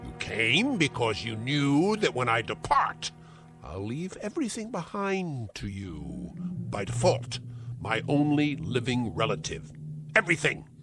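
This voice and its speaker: An actor playing a spiteful, cruel old uncle who hurls theatrical insults, in a radio-style drama. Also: male voice, mid-range voice, very animated, unhurried. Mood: scornful. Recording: music score behind.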